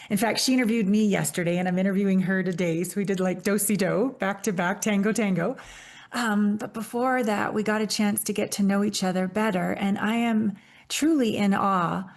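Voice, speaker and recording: Fifties female podcast host, effusive scripted intro, medium pitch. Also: a little mellow. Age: 50s. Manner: effusive, gushing. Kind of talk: scripted show welcome and guest introduction.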